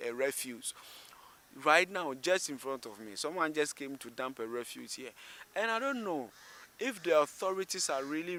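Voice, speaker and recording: Ghanaian guy vlogging on location, bright forceful voice, chatty and opinionated, repeats himself to make a point. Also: mid-range voice. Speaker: vlog host. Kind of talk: informal on-location commentary to viewers. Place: outdoors beside a railway station.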